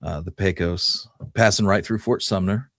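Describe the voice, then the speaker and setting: A man's voice: deep voice, fairly even intonation, moderate pace, a bit bright, dry recording, clean studio sound. A man co-hosting a history show, talking casually and explaining things in an informal storytelling way. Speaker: co-host presenting research on Old West history. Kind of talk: casual conversational history podcast talk.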